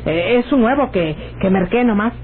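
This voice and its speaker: An actress with a northern Mexican accent playing a naive, anxious young country sweetheart with rustic speech in a radio drama. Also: female voice, medium pitch, expressive, normal speed, natural voice. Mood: flustered, nervous. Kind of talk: old-time radio drama acting. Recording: tinny, noisy old radio recording.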